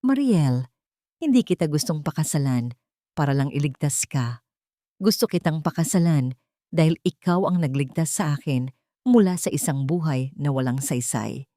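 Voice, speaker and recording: A woman's voice: low-pitched, animated, moderate pace, warm tone, no echo, studio quality. A woman voicing a devoted man in love, speaking earnestly and tenderly in a narrated romance drama. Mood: earnest, loving.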